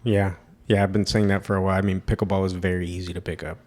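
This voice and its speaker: Young man, podcast co-host, deep low voice, laid-back rambling chat. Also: quick pace. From Mexican heritage. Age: 20s. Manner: relaxed, rambling with fillers and restarts. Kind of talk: casual chat.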